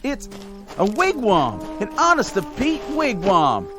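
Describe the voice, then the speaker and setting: Naive jumpy army private, high voice, eager, radio drama w music behind. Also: male voice, huge pitch swings, slow, exaggerated voice. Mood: wondering. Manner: eager, gullible. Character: earnest, jittery, naive National Guard private.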